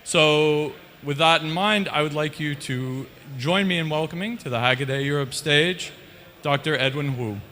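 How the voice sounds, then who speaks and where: A man's voice: a little high, normal speed, sharp tone. A man acting as conference host, introducing the next speaker on stage. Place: large conference hall. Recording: long hall reverb.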